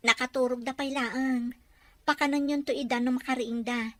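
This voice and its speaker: A woman with a Filipino accent playing a gentle, dutiful young wife in an audio drama. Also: sits high, fairly even intonation, normal speed, dry recording. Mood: earnest. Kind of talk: Ilocano audio drama acting.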